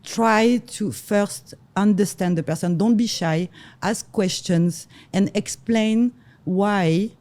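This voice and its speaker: A woman's voice: mid-range voice, takes it slowly. A middle-aged woman from Morocco, a luxury real estate broker, telling stories in a casual interview and acting out the dialogue with frequent laughs. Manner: animated, acts out quoted dialogue, laughs often.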